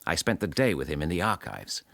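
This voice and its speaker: Donnish English gentleman, upper-class accent, radio drama, lowish pitch, animated, polite, eager. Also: fairly quick, vocal fry, heavily acted. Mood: pleased, confiding. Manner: polite, slightly fussy, donnish. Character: eager, romantic, courteous English gentleman scholar of the 1920s. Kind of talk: radio drama acting, letter narration.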